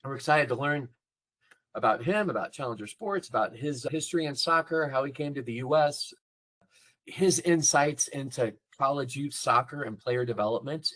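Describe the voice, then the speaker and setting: A man's voice: mid-range voice, animated, normal speed, fairly bright tone, rough recording. Eager male podcast host. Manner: enthusiastic, self-deprecating promoter. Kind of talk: casual podcast talk.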